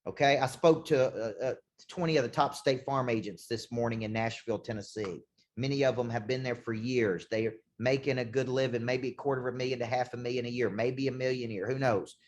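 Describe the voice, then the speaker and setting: A man, a sales coach, giving an intense motivational talk with punchy repetition, slowing down to hammer key points. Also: mid-range voice, steady tone. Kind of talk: motivational talk to a show host. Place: condo.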